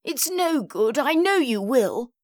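A woman's voice: sits high, big pitch swings, measured pace, no room sound, clean studio sound, voice pushed up. A woman voicing a defensive, indignant mum in a contemporary comic novel, protesting with embarrassed emphasis. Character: defensive, indignant mum confiding in her grown child.

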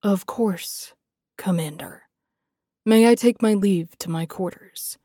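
A woman's voice: mid-range voice, fairly even intonation, measured pace, dark tone, husky, no room sound, studio quality. A woman voicing a proud, sardonic male lieutenant in a fantasy audiobook, with an intense manner. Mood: mocking. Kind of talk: dramatic fantasy audiobook acting.

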